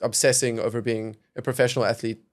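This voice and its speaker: A man's voice: mid-range voice, flat delivery, fast talker. A young man from South Africa, a guest on a podcast, speaking thoughtfully and hedging often with "kind of" and "you know". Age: young adult. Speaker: venture capital investor, podcast guest. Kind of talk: casual podcast conversation.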